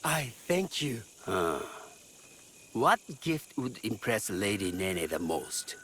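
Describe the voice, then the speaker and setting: A humble game villager, a fussy father with a low but very lively voice. He is polite and ingratiating, always wheedling, with faint outdoor noise behind. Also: slow pace, full character voice. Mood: politely fussy. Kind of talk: game dialogue acting.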